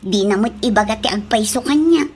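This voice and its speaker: A woman's voice: medium pitch, animated, a little fast, background noise, natural voice. A woman with an Ilocano accent playing a young village daughter, gossipy and protective of her mother, in a comedic radio drama. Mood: confiding, defensive.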